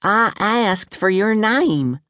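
A man's voice: sits high, expressive, slow pace, sharp tone, no room sound, studio quality, voice pushed up, full character voice. A reader voicing a chatty, bold five-year-old girl, curious and blunt.

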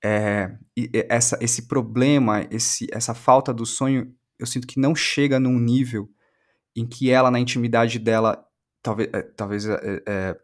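A man's voice: medium pitch, steady tone, a little slow. A Brazilian man interviewing in a thoughtful conversation, tentatively searching for his words and repeating himself.

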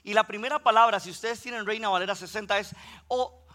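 A male preacher from Costa Rica with a Costa Rican Spanish accent and a high, bright, forceful voice. He greets the church warmly, then preaches with rising intensity and reads Scripture aloud. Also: steady tone, fast. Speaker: guest preacher. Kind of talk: sermon.

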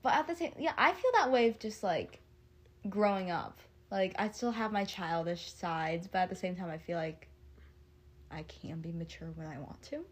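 Young adult woman, co-host; relaxed, conversational, easygoing. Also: fairly low pitch, moderate pace. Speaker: podcast co-host. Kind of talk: casual chat.

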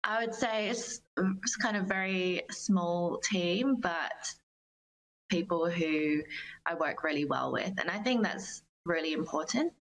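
A woman's voice: on the low side, slow, poor audio. A woman giving reflective, earnest interview answers as a guest musician, phrasing her thoughts carefully. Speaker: guest, singer-songwriter.

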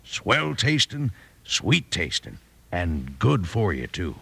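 An actor playing a folksy, enthusiastic cowboy pitchman with a gravelly voice and American accent in a radio commercial. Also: wildly swooping pitch, takes it slowly, sharp tone, exaggerated voice. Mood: hearty. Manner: drawling folksy salesmanship. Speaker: radio advertiser.

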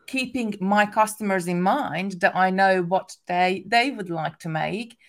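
A woman speaks English with a strong Hungarian accent, her first language showing in the grammar. She rambles casually in a mid-pitched voice, full of restarts and small laughs, as a guest on a chat show. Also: a little slow. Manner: chatty, many restarts and "like" fillers, laughs often. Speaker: guest, craft business owner.

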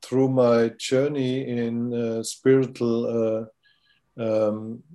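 German older guy in his 60s, slow and hesitant, lots of uhs, mellow dark voice. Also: medium pitch, little pitch movement. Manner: halting, searching for words, many fillers. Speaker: podcast guest. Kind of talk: interview conversation.

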